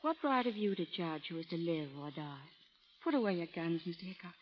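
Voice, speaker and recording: A woman's voice: fairly low pitch, big pitch swings, brisk, a little hiss or hum. A woman playing a pious, reproachful frontier wife in an old radio drama, emotional and moralizing in formal phrases. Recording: muffled old radio recording.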